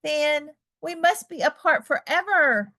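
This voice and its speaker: An anxious storybook elephant voiced by a woman, a devoted friend who makes a drama of everything. The pitch is high and swoops widely, and the delivery is slow and halting. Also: expressive, bright, forceful tone, heavily acted. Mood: mournful. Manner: melodramatic, halting, exclaiming. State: sneezing, acted. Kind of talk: children's picture-book read-aloud.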